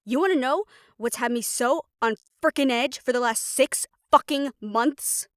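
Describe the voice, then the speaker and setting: A woman playing an overworked, sharp-tongued but caring siren-banshee sorceress, venting emotionally in a dramatic audio roleplay. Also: sits high, unhurried, dry recording, clean studio sound. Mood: exasperated. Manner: emotional venting, sarcastic and sweary, with heavy sighs.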